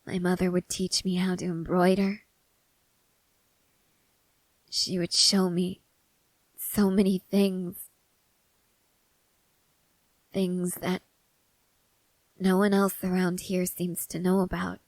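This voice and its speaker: A woman playing a haunted, restless young woman soldier in an intimate ASMR character roleplay, speaking haltingly and broodingly with pauses. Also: on the low side, little pitch movement, dry recording, low-quality recording. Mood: wistful. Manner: slow, halting, brooding delivery with long pauses.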